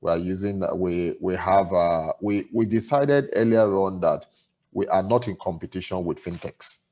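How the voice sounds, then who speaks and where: Low-voiced executive, measured. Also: male voice, mellow, lo-fi sound. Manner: measured, explanatory, with fillers and restarts. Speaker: bank executive. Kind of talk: panel conversation.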